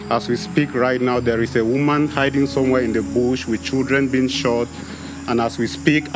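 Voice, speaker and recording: A man from Cameroon with a Cameroonian English accent, speaking earnestly and emphatically in an interview and repeating phrases for force. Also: medium pitch. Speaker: founder of an advocacy organization. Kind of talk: interview testimony. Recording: music playing behind the voice.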